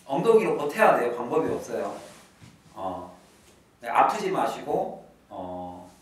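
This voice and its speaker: A middle-aged male English teacher giving an exam-prep lecture to high school students, emphatic and lively, dropping to low asides. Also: unhurried. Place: academy classroom. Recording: echoey classroom from a distance.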